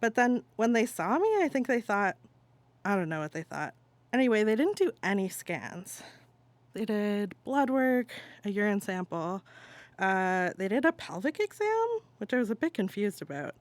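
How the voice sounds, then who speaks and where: An exasperated adult vents to a cousin on the phone, played by a woman in a stage monologue. The delivery is casual and halting, like one side of a real call. The sound is dry, with little room. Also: mid-range voice, sing-song, no room sound, close to an everyday voice. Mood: exasperated, venting. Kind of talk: dramatic monologue, conversational stage reading.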